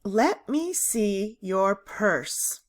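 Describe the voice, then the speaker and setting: A woman voicing a stern, probing female fifth-grade teacher in a storybook, measured and firm. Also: medium pitch, very animated.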